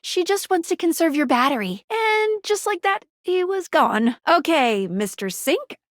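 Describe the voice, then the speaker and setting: A perky, earnest female helper from a video game. Her voice sits high and swings widely as she quips and encourages. She sounds eager with a nervous edge, in a close, dry studio sound. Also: measured pace, no echo, full character voice. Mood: chipper, helpful. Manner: chipper, quippy, expressive. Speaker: game character guiding the player. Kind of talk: animated video game voice acting.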